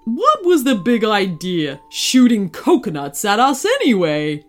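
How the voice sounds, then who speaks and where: A woman's voice: mid-range voice, wildly swooping pitch, measured pace, faint music behind. A woman voicing a thoughtful, friendly little male dog in a storybook, giving kind advice. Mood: indignant. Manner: warm, thoughtful, advising.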